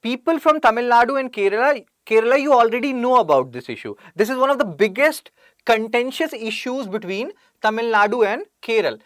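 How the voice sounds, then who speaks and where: An Indian English woman teaches an online exam-prep class in a lively, bouncing voice. She chats, throws in sarcastic jokes and calls out her students by name. The sound is dry and close. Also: fairly high pitch, fairly quick, dry recording. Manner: chatty, jokes and sarcastic asides; reads out student names from chat.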